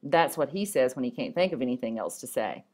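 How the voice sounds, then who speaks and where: A woman reading stories and poems aloud in an expressive storybook manner, with an occasional stumble. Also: a bass voice, fairly quick.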